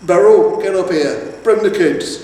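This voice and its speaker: A man's voice: sits high, a little slow, warm tone, pitched above the performer's own voice. A man voicing a proud, eager troll, a henpecked family father who talks in earnest, homely boasts, in a storybook reading. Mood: proud, excited. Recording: echoey reverberant room, distant mic, faint noise.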